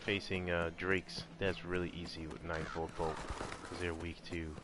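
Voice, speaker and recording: A man giving casual, halting gameplay commentary as he explains things while playing. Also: low voice, flat delivery, noisy background. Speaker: video game streamer.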